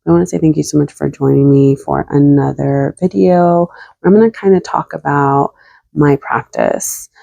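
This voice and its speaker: A woman with a cold, a bit raspy and congested, talking casually about her spiritual practice with many fillers. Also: sits low, steady tone, moderate pace, warm tone, no room sound. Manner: rambling, with many fillers and hedges. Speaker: tarot reader and spiritual content creator. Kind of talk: casual talk to camera.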